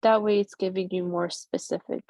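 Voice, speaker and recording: Gravelly woman talking through a demo. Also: on the low side, steady tone, darkish tone, no echo. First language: Persian. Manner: casual, halting, many fillers and restarts. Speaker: presenter demoing a chatbot tool. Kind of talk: live software demo on a video call.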